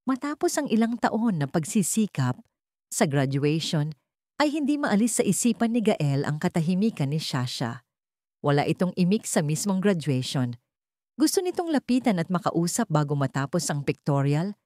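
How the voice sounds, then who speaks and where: A woman's voice: on the low side, sing-song, normal speed, dark tone, no echo, studio quality. A woman reading scripted dramatic fiction as a storyteller. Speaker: story narrator.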